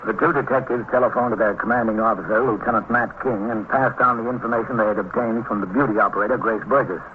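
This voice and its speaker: Lowish American police narrator, old radio, male actor. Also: fairly even intonation, close to an everyday voice. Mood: sober, factual. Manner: measured, factual, official. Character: sober factual male police captain narrating case. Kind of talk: scripted narration, vintage radio drama. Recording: tinny, noisy old radio recording.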